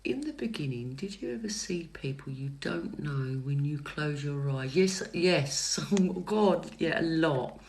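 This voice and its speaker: A middle-aged woman chats with viewers during a livestreamed tarot reading, her voice soft and calming. She speaks slowly, with a gravelly texture, pausing on fillers and restarting sentences as she answers comments. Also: low-pitched, slight background noise. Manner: hesitant, many fillers and restarts. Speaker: psychic tarot reader. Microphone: phone livestream mic.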